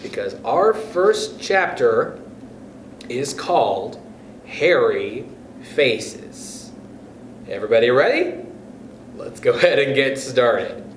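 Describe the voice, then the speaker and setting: A man's voice: medium pitch, very animated, slow, long pauses. A man hosting storytime, reading a children's book expressively and speaking directly to young listeners. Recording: echoey room with background noise.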